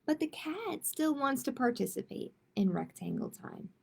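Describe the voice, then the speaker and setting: A woman's voice: high voice, very animated, moderate pace, vocal fry, breathy edge. A woman hosting a children's storytime, reading picture books aloud and chatting expressively and directly with young listeners. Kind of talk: children's read-aloud with casual chat.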